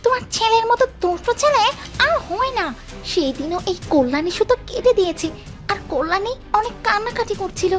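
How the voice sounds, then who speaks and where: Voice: very high-pitched, expressive, brisk, a bit bright, faint music behind. An actor voicing a stern, principled mother mouse scolding in a cartoon. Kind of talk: animated cartoon voice acting.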